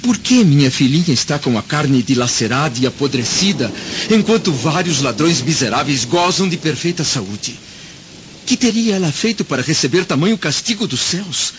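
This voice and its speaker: A man playing a troubled, youngish Roman senator and anguished father in a radio drama, speaking gravely and dramatically. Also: a little high, animated, moderate pace, natural voice. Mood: brooding, questioning. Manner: grave, dramatic, rhetorical delivery. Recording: dull, noisy lo-fi radio drama recording.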